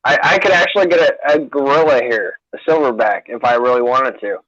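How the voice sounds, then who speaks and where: An excitable man in his thirties co-hosts a livestream, swearing casually as he talks. The audio is dull and lo-fi, with a dry, close sound and a mid-pitched voice. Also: a little fast, no room sound. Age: 30s. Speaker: co-host.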